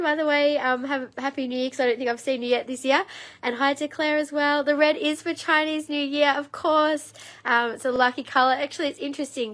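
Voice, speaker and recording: Woman, thirties, a bit croaky, chatty live-stream seller, rambling with ums. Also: high voice, a bit bright. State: slightly croaky, frog in throat. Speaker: host of a live crystal sale. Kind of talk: casual live-stream sales chat.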